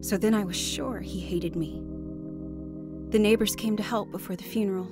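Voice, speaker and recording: A woman's voice: medium pitch, normal speed, music in the background, close to an everyday voice. An actress playing a reflective woman narrating her own sorrowful story in a radio drama. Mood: reflective, sad.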